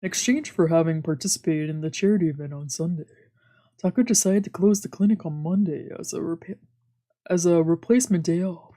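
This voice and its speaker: Low-voiced woman streaming a visual novel. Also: brisk, warm tone. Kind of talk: read-aloud narration with casual let's-play chat. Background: faint game music.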